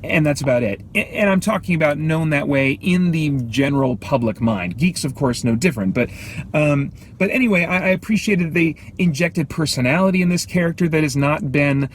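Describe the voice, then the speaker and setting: Higher-pitched man reviewing casually, noisy background. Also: lively intonation. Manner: halting, thinking aloud, measured opinions. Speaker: movie review host. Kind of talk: casual off-the-cuff film review commentary.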